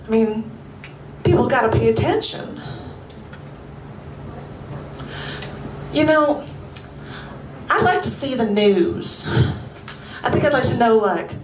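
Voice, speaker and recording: A woman's voice: on the high side, animated, a little slow, long pauses, far from the mic, background noise. A woman performing a live stage monolog as a confined, restless woman who talks in a put-on Southern accent, rambling and stammering with nervous laughs. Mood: chatty. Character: confined woman, chatty, restless, feigning craziness. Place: coffeehouse stage before a live audience. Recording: roomy room, mic set back.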